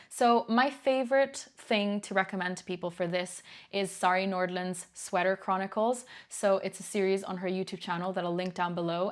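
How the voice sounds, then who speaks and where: Brief: young woman from Italy, casual vlog host, candid and chatty, laughs easily. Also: lowish voice. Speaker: knitting YouTuber.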